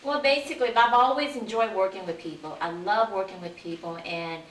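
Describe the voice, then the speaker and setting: A woman in her forties, born in Vietnam, a city council candidate telling her life story in an earnest, steady way. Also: medium pitch, a little fast. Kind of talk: interview answers, life story. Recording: echoey room, distant mic, faint hiss.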